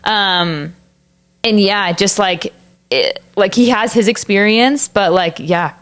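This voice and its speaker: A woman's voice: mid-range voice, slow pace, a bit bright. A young woman co-hosting a podcast in casual chat, talking loosely with lots of "like" and restarted sentences. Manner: filler-heavy, frequent "like", restarts mid-sentence. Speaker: podcast co-host.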